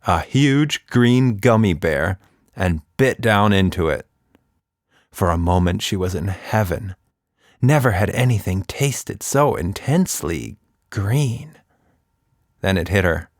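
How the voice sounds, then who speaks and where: A man's voice: lowish voice, animated, slow, no echo. A man narrating a children's story expressively as a podcast host, recorded close to the mic.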